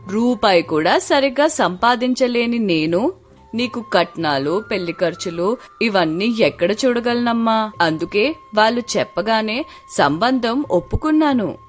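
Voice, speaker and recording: A woman's voice: fairly high pitch, expressive, a little slow, faint music behind. A woman voicing a poor, weary, practical mother, plaintive and persuasive, in a moral story. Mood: plaintive persuasion.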